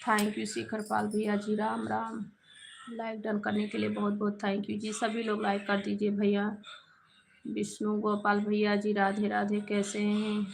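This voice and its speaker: Live-stream host, a hoarse woman, gravelly and flat, greets viewers by name; faint background noise. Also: mid-range voice, a little slow. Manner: reads out viewer names and greets them warmly. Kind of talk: casual live chat reading comments.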